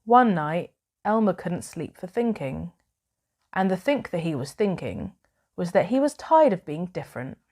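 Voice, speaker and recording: A woman reading a children's bedtime story aloud in a measured, expressive way with dramatic pauses. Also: on the low side.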